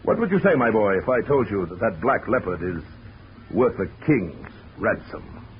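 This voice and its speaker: A florid, pompous big-game hunter villain, smug and quietly menacing, his voice sitting low. It comes through a muffled 1940s radio recording thick with hiss. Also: big pitch swings, normal speed. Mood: grandiose.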